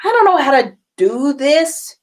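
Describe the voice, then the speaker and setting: An exasperated teenage wizard boy as a woman reader plays him, pitched up high. He complains with heavy emphasis, mortified and fed up, in a comic storybook reading. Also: very animated, measured pace. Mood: frustrated.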